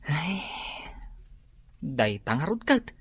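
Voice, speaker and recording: A woman's voice: deep voice, sing-song, drawn-out words, husky, no echo, heavily acted. A woman with a Filipino accent playing a deferential employee in an Ilocano radio drama. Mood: reluctant.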